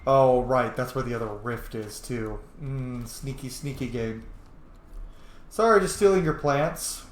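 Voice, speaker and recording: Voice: mid-range voice, measured pace, roomy sound. A gaming streamer giving casual, chatty playthrough commentary, playful and full of swearing.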